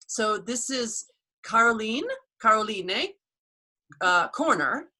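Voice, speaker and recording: An American woman hosts a relaxed video call with a bright, clear voice. She tells stories in a chatty way and laughs along. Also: a little high, slow pace. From United States. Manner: chatty storyteller, warm laughs. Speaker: host. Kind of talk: casual video call chat.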